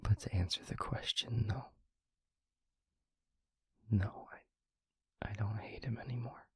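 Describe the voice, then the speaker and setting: A gentle vampire boyfriend, played by a man, speaks in a very breathy, husky near-whisper. His low voice is soft and mellow, with a slow, halting, tender delivery suited to intimate audio roleplay, recorded close and dry. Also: steady tone, no echo. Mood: hesitant, confessional. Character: gentle, devoted male vampire boyfriend, earnest and soothing.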